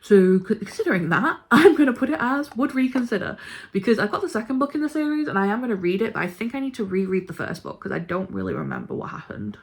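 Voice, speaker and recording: A woman's voice: fairly high pitch, very animated, quick pace. A woman chatting casually and informally about books she ranks, with a gushing, emphatic way of talking. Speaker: book reviewer vlogger. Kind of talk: casual chat ranking books.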